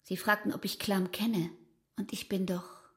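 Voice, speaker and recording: Proud, intimate young barmaid played by an actress. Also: female voice, medium pitch, flat delivery, a little slow, fairly bright tone, natural voice. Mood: intimate, confiding. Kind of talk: literary radio drama acting.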